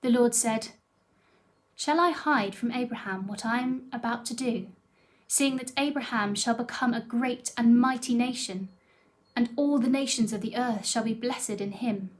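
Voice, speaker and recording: Woman, fairly high voice, scripted Bible reading for a church. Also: normal speed.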